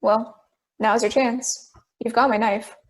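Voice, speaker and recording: A young woman acting a calm, persistent juror in an audio drama. The voice is high, with a deliberate, questioning delivery that reasons step by step. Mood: cool.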